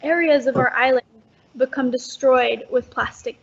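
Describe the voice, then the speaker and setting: Rich: A teenage girl from Indonesia with a high, breathy voice answers eagerly, dropping in little ums as she goes. She speaks from home late at night, and the call audio is dull and lo-fi. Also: steady tone, moderate pace. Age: teens. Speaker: guest. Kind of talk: casual interview answers.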